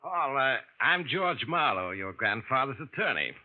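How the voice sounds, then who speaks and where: An actor playing a formal middle-aged family attorney who hesitates with fillers, in a 1940s radio drama. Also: male voice, medium pitch, big pitch swings, normal speed, faint music behind, lightly acted. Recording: tinny vintage radio broadcast.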